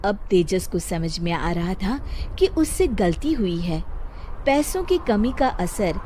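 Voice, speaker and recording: A woman narrating a children's cartoon story in a calm, measured storytelling voice. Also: mid-range voice, noisy background.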